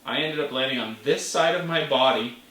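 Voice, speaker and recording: A person telling a story in a casual vlog manner, animated, with playful exclamations. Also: medium pitch, a little fast. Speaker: vlogger. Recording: roomy, distant mic with faint noise.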